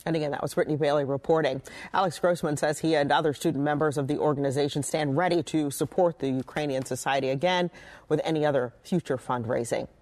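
A woman news anchor reading scripted local news in an even, measured way. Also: sits low.

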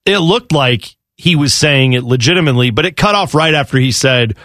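A man's voice: mid-range voice, lively intonation, fairly quick, fairly bright tone, no room sound, clean studio sound. A man co-hosting a sports radio show, chatting and bantering casually.

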